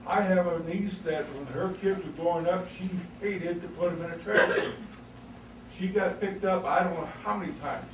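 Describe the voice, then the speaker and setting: A man in his sixties asking a follow-up question at a public forum, blunt and grumbling with a joking edge. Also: sits high. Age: 60s. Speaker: audience member. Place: packed public library meeting room. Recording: echoey room from far off, noisy.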